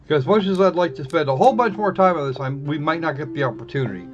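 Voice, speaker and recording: A man's voice: a little high, fast. A man hosting a live game stream with casual, snarky, rambling commentary. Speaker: livestream host playing games. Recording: muffled, dull band-limited sound. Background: faint game music.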